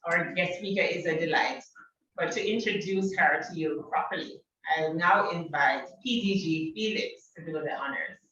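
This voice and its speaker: A woman chairing an online meeting in a brisk, teasing way. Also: fairly low pitch, a bit bright, a little hiss or hum. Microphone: video call. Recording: very echoey, distant, dull-sounding.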